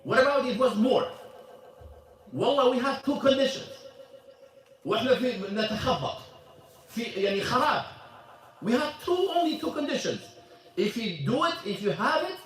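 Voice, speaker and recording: A man's voice: very high voice, very animated, fairly quick, long pauses, fairly bright tone. A man teaching a religious class at a mosque. He is emphatic, repeats his points and quizzes the audience. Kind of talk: religious lesson, switching between Arabic and English. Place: mosque hall. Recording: echoey hall, mic at a distance.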